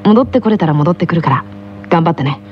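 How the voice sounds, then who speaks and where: A woman playing a calm, gently teasing teenage boy with a soft, polite, playful way of talking, in an anime radio drama. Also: fairly low pitch, quick pace, muffled recording, a little hiss or hum, natural voice. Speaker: radio show host in character.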